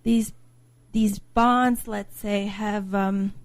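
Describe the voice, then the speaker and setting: A woman's voice: mid-range voice, fairly even intonation, extremely slow, no echo. A woman from Slovakia, a guest author, earnestly explaining her points in a conversational interview and building them up as lists.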